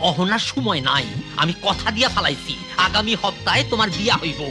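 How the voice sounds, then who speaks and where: A man's voice: high voice, expressive, fairly quick, music in the background. A man playing a stubborn, complaining elderly shopkeeper father in a cartoon, with a rural Bangladeshi accent. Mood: insistent. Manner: indignant, complaining. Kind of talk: cartoon voice acting.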